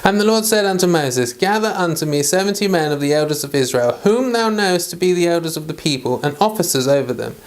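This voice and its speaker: A medium-pitched voice hosting a homely devotional read-along. The delivery moves between formal scripture reading and informal, chatty talk, as someone reading aloud for themselves and for listeners. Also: expressive, fast, few pauses. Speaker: host reading the Bible aloud.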